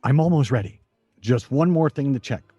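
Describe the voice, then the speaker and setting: Fussy, mellow-voiced distracted husband. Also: male voice, mid-range voice, animated, normal speed, slightly rough, dry recording, lo-fi sound, faint music behind. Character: slow, fussy, distracted husband absorbed in board game. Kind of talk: stage radio play comedy acting.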